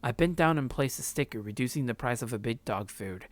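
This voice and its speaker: A man's voice: medium pitch, expressive, fairly quick. A man hosting a let's play, reading a visual novel's first-person narration aloud.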